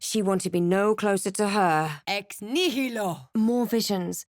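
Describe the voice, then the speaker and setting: A woman's voice: medium pitch, measured pace, no echo, natural voice. Woman acting a sardonic fantasy adventurer, close and dry. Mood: commanding incantation. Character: snarky, proud adult female fantasy adventurer spellcaster. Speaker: video game voice actor. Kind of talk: scripted game character lines and narration.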